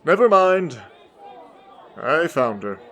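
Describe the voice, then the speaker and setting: A man playing a cautious, earnest soldier hero in an audio drama, with a hesitant, deadpan delivery. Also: a little high, slow, full character voice. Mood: careful. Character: cautious, earnest heroic soldier, bemused and dry. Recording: noisy outdoor battle crowd behind.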